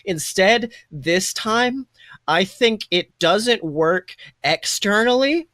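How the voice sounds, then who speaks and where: A man's voice: high-pitched, lively intonation, slow, sharp tone, dry recording. A man improvising tabletop game narration as game master in an informal, conversational storytelling manner. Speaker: game master and podcast host.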